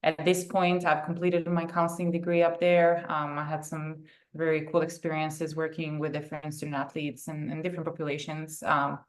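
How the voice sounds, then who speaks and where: A woman's voice: low voice, level tone, normal speed, few pauses. A young woman from Serbia, answering conversationally as an interview guest on a video call. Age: young adult. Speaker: guest, therapist and sports psychology consultant.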